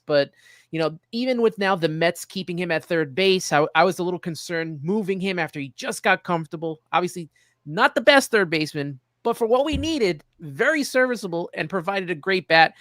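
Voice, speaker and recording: A man's voice: high-pitched, expressive, normal speed, a bit bright, dry recording, clean studio sound. A man hosting a sports show, chatting through baseball analysis in a conversational way.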